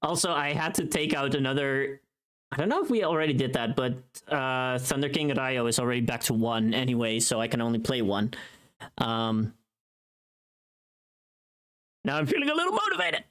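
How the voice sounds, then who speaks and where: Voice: mid-range voice, sing-song, brisk, fairly bright tone. A livestream host chatting casually while working, informal and sweary, often thinking aloud.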